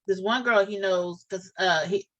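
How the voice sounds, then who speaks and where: A woman's voice: mid-range voice, fairly even intonation, moderate pace, fairly bright tone, dull audio. A woman chatting casually on a reaction show, earnest and rambling, often restarting her sentences. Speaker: co-host of a reaction channel.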